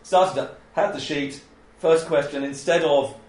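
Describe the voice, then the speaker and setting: A man with a loud voice giving an informal training talk on interviewing, chatty and often laughing as he acts out example questions. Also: a little high, measured pace. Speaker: oral history trainer. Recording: echoey room.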